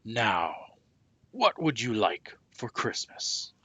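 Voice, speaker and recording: A man voicing a jolly old Santa Claus in a storybook, loud and hearty, drawing out his words. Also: fairly low pitch, very animated, slightly rough, exaggerated voice. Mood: jovial, hearty. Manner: hearty, loud, drawn-out proclaiming.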